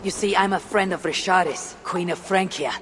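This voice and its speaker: A woman playing a bold Norse warrior and raider in a video game, speaking in a measured, blunt, grave way. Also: fairly low pitch, steady tone, slight background noise, lightly acted. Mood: firm. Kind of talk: video game cutscene acting.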